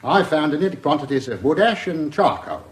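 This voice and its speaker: A man's voice: a little high, very animated, normal speed. A man playing a methodical, deferential English police inspector giving careful, factual evidence in a radio drama. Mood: dutiful, factual.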